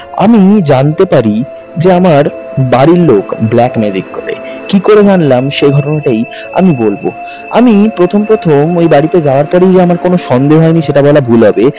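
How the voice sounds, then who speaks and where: A man narrating a ghost story as a calm, steady first-person account told from a woman's point of view. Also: a little high, poor audio.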